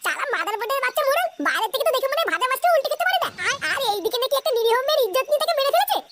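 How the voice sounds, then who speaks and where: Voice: very high voice, big pitch swings, very fast, a bit bright, heavily acted. A performer voicing a brash, crude, cocky young village prankster in a comic cartoon dub. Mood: indignant, cocky. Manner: cheeky, crude. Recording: very noisy background ambience.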